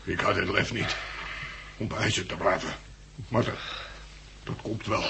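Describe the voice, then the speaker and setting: An actor playing a drunken, despairing blind pub landlord who rambles in coarse, slurred, bitter speech in a radio drama. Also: male voice, low-pitched, a little slow, gravelly. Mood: despairing, anguished. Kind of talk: 1960s radio drama acting. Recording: old 1960s radio recording, faint hiss.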